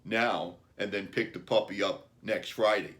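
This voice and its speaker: Man playing a calm, low-voiced storybook dad. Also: unhurried. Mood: calm, firm. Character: calm, reassuring father of a young boy.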